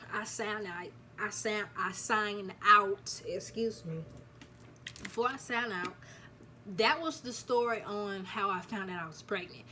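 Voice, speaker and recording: A woman's voice: medium pitch, sharp tone. Young woman, nineteen, chatty storytime vlog, faint hiss behind. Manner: chatty, repeats herself, addresses viewers as y'all. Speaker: vlogger. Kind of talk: casual storytime chat to camera.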